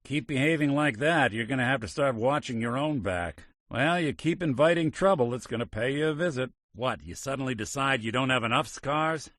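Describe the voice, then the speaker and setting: A man's voice: mid-range voice, fairly quick, few pauses, fairly bright tone, no room sound, lightly acted. An actor voicing a world-weary male robot detective in a game, talking in dry, hard-boiled wisecracks. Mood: wry. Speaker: game companion character. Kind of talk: video game voice acting.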